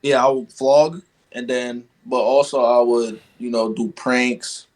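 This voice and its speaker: A man's voice: medium pitch, fairly even intonation, measured pace. A young man from Guyana, a podcast guest, chatting casually and padding his talk with "you know what I'm saying.". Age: young adult. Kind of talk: casual conversation.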